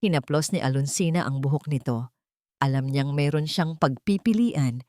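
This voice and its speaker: Brief: female storyteller, steady and emotive, lower voice, dry close voice-over. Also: moderate pace, dry recording. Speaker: narrator. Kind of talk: scripted narration of a love story.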